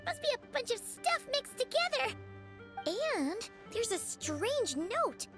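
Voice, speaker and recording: A woman voicing a small, excitable fairy companion girl in a video game, with a squeaky, childlike voice and a fretful manner. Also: slow pace, over music, full character voice. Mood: curious, wary. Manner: excitable, refers to herself in third person. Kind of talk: video game character acting.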